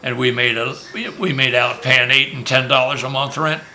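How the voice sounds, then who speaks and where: A man's voice: medium pitch, fairly even intonation, normal speed, sharp tone, slight background noise. An older man from Maryland, a grandfather telling his life story in a rambling, joking way during a casual family interview at home. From Maryland, USA.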